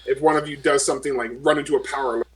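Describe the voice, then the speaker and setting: A man's voice: mid-range voice, steady tone, rapid-fire, fairly bright tone, slight background noise, poor audio. A man bantering casually as a tabletop role-player, sardonic and sweary. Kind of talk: casual in-character game banter.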